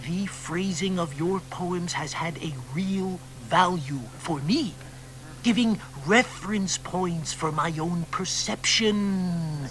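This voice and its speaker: An actor playing an eager young male poet who flatters an older writer in a radio play. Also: high-pitched, slow pace, a little hiss or hum, poor audio. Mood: earnest. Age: young adult. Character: earnest young male admirer, persistent.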